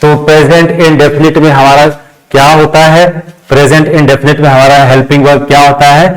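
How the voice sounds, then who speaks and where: A man's voice: a little high, level tone, fairly quick, poor audio. A man with an Indian accent teaching a lesson step by step, repeating key phrases and answering his own questions. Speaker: English grammar teacher. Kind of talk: classroom lesson, step-by-step explanation.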